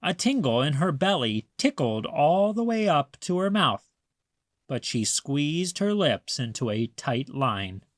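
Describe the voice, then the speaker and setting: A storyteller reading a picture book aloud for young children, expressively and deliberately, stressing words. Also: medium pitch, sing-song, no echo, clean studio sound. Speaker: children's read-aloud narrator.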